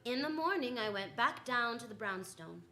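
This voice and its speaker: A woman's voice: fairly high pitch, expressive, normal speed, loud music behind the voice. A woman playing a wisecracking female private detective in a 1940s-style radio drama, with slangy, wry delivery. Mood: wry, narrating.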